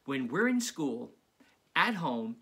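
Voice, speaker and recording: A man's voice: mid-range voice, very animated, slow pace, a bit bright, husky, full character voice. A man voicing a friendly, chatty second-grade vegetarian zombie boy in a confiding storybook narration.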